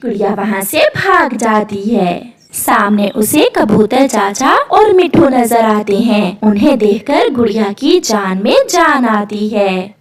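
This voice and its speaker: A woman's voice: a little high, expressive, slow pace, distant mic. A woman storyteller reading scripted narration for a children's cartoon tale.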